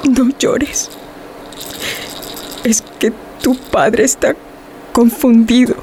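A woman's voice: on the high side, steady tone, very slow, background noise. An actress playing a proud, hurt young wife and mother in a radio drama, emotional and defiant. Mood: tearful, bitter.

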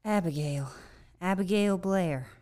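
A woman's voice: lowish voice, a little slow, dark tone, close to an everyday voice. Woman acting a shaken young frontier survivor. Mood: shaken, wary. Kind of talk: amateur audio drama acting and narration.

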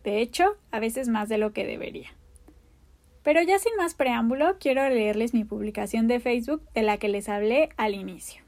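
A woman's voice: fairly high pitch, normal speed. A Mexican woman in her thirties, hosting her own podcast and openly introducing herself in an earnest manner. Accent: Mexican Spanish. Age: 30s. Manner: open, earnest, warm self-introduction. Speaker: podcast host. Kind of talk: personal podcast monologue.

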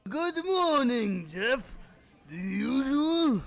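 Creaky, high-pitched diner cook, acted by a man. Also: sing-song, extremely slow, a bit bright, soft background music, exaggerated voice. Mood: friendly. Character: friendly diner cook greeting a regular customer. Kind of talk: scripted comedy skit.

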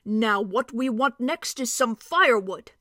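A woman voicing a cheerful, stocky male dwarf with a hearty, emphatic, drawn-out way of talking. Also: a little high, animated, pushed and bright, dry recording, studio quality, exaggerated voice. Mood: wondering.

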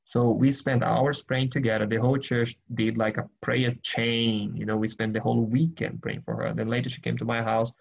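Man, mid pitch, gravelly, group study leader on a lo-fi video call. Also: level tone, normal speed, few pauses. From Brazil. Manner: earnest, rambling, frequent restarts and fillers. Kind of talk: casual teaching and prayer in an online group session.

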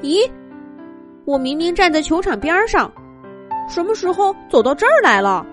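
A woman's voice: extremely high pitch, very animated, normal speed, faint music behind, pitched above the performer's own voice. A woman voicing a timid little boy rabbit in a children's story, asking hesitant, wondering questions. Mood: puzzled.

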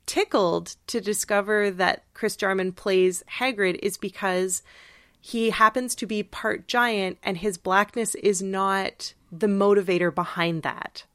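A woman's voice: medium pitch, steady tone, a little slow, a bit bright, dry recording, clean studio sound. A woman co-hosting a podcast in casual, informal discussion, often checking for agreement as she explains. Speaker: podcast co-host.

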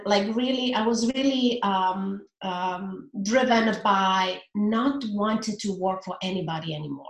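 A woman in her forties with a Romanian accent, a relationship coach speaking earnestly in a casual interview, often pausing on fillers. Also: medium pitch, roomy sound, low-quality recording.

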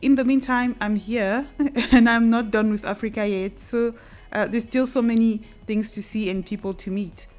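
A woman hosting an interview, asking her guest questions in a steady, friendly way. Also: a little high, moderate pace, faint music behind. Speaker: interviewer, host.

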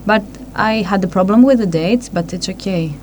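A woman from Greece, a guest on a casual radio chat, speaking in hesitant English and letting her sentences trail off softly. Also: medium pitch, moderate pace, slight background noise.

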